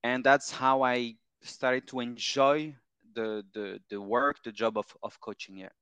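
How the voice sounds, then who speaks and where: A man's voice: mid-range voice, steady tone, unhurried, a bit bright, clean tone, no room sound. A French man in his thirties, a mental coach, answering interview questions with frequent fillers and repeated phrases. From France. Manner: hesitant, repeats words and phrases, many fillers.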